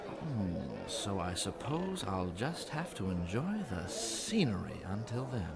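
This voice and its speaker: An actor playing a wry, worldly, roguish businessman and gambler in an audio drama, smooth-talking and unhurried. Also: on the low side, very animated. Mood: suave, amused. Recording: crowd murmur behind the voice.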